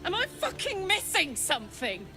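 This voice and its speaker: A woman's voice: very high voice, huge pitch swings, moderate pace, music in the background, full character voice. A woman acting a brash but tender warrior who is grieving her own coming death in a video game, raw and heartfelt. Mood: drained, bitter.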